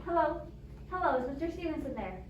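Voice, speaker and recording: Woman acting very high-pitched, nervous, demanding wife. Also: big pitch swings, darkish tone. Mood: anxious. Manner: agitated, halting, insistent. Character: nervous, imperious, demanding invalid wife on the telephone. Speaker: amateur drama club actor. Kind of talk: radio play acting. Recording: echoey, distant, noisy amateur recording.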